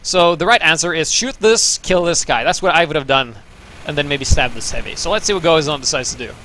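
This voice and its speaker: A man's voice: a little high, fairly quick, pushed and bright. A young man from Norway giving loose, profane, sarcastic commentary over gameplay replays as the show's host. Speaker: game commentator.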